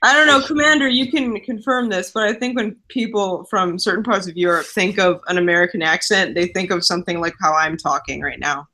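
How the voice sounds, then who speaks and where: A woman's voice: on the low side, a little fast, few pauses, pushed and bright. A woman in a casual group chat, sleepy and easygoing. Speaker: stream guest.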